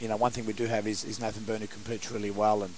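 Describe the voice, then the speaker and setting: Hoarse coach; halting answers after the game. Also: male voice, lowish voice, flat delivery, a little mellow, slight background noise, rough recording. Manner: halting, many restarts and fillers. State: hoarse, has lost his voice. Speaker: football coach. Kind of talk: post-match interview.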